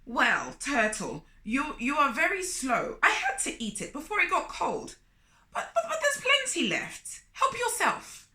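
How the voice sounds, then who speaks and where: Woman acting as greedy male spider, storybook character, high, fussy, falsely polite, stammering excuses, roomy recording. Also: wildly swooping pitch, measured pace, pushed and bright, heavily acted. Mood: sly excuses. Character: greedy, selfish spider, male, slyly scolding his guest.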